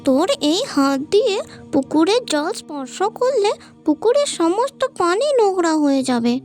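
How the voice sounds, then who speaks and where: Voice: extremely high pitch, sing-song, normal speed, a little mellow, soft background music. A performer voicing a quarrelsome, bossy village girl in a cartoon, scolding and emphatic. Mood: scolding, haughty. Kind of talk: cartoon voice acting, dramatic dialogue.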